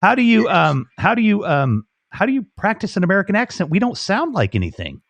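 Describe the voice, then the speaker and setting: A man from Chicago hosting a casual live chat, animated and jokey. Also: high voice, fairly quick, vocal fry, no room sound. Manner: animated, jokey, puts on voices. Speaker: host.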